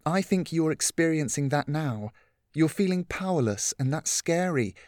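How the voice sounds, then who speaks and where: A man reading a story, voicing a caring adult woman who talks softly and patiently. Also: a little high, expressive, moderate pace, no echo, studio quality, pitched above the performer's own voice, close to an everyday voice. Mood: soft reassuring. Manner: soft, patient, comforting. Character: gentle caring adult woman, calm and reassuring.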